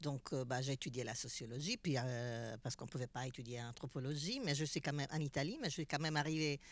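Low-voiced woman, halting panel talk. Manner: halting, many repeated words. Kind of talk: panel discussion. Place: festival stage with backdrop. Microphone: handheld mic.

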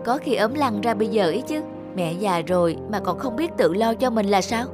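A woman playing a quick-tempered, anxious young mother in a cartoon. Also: medium pitch, lively intonation, music in the background, natural voice. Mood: irritated, scolding. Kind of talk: cartoon dialogue.

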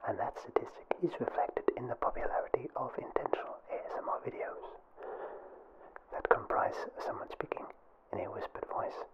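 A young man narrating a scripted ASMR information film in a soft, breathy whisper with a formal, deliberate, mock-official delivery. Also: medium pitch, lo-fi sound. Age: young adult. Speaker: ASMR video creator, film presenter.

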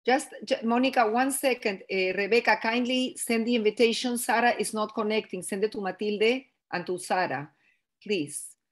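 A woman's voice: on the high side, normal speed. A woman organizing an online event, giving casual spoken instructions over a video call.

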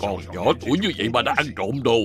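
Cartoon stone lion, male actor: imposing, accusing, rapid, widely varied intonation. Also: medium pitch, expressive, natural voice. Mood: stern, accusing. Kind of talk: dubbed children's cartoon acting. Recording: music behind the voice.

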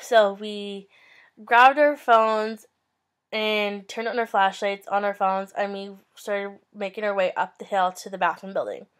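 A woman's voice: mid-range voice, measured pace. A young woman telling a story to her webcam in a casual, upbeat vlog, gesturing as she talks from a small bedroom. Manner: chatty, upbeat, animated hand gestures. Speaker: vlogger. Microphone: webcam at close range.

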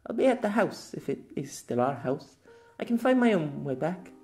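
A man's voice: on the high side, big pitch swings, normal speed, darkish tone, voice pushed up. A man voicing a hurt, timid young woman who talks in a hesitant, plaintive way. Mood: tearful, withdrawn.

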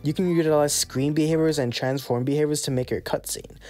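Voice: mid-range voice, steady tone, a little fast, a little mellow. Tutorial host, mid-range voice, casual and playful delivery, scripted explainer, music in the background. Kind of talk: scripted software tutorial narration.

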